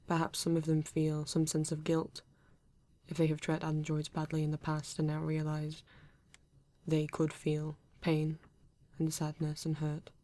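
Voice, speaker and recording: A woman's voice: low-pitched, completely flat delivery, warm tone. A woman playing a male android police detective with a British accent, formal, precise and proud yet earnest, in an ASMR roleplay. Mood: thoughtful, reserved. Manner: formal, precise, measured, avoids contractions.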